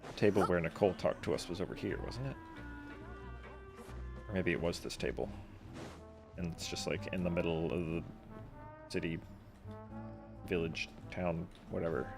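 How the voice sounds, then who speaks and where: A man's voice: deep voice, normal speed, creaky, pauses often, music in the background. A man doing casual live game commentary as a streamer, rambling and offhand.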